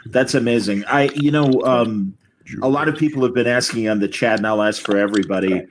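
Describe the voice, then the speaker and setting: A man hosts a casual group interview on a livestream. He speaks at a middle pitch and halts to restart questions. The audio carries faint noise and small clicks. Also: a little fast, poor audio. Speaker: host.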